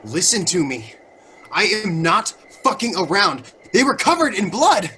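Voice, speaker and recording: Tense young male horror character, played by an actor, urgent, high-pitched, swinging widely in pitch. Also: big pitch swings, unhurried, sharp tone, a little hiss or hum, heavily acted. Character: tense, rattled young man in horror drama. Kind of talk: dramatic voice acting.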